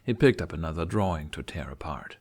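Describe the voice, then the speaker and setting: Low-voiced man narrating a story. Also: expressive, a little fast, darkish tone. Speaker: podfic narrator. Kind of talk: expressive scripted story narration.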